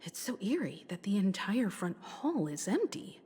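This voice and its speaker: A woman voicing a calm, caring, mature companion in a video game, speaking with measured caution. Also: mid-range voice, breathy edge. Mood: calm, wary.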